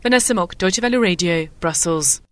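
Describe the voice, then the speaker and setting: A woman's voice: fairly low pitch, a little fast. A woman radio correspondent reading a scripted news report.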